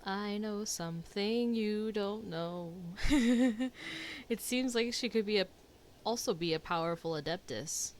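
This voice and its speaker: A woman's voice: mid-range voice, unhurried, slight background noise. A woman streaming a video game, giving casual reaction commentary as the story plays.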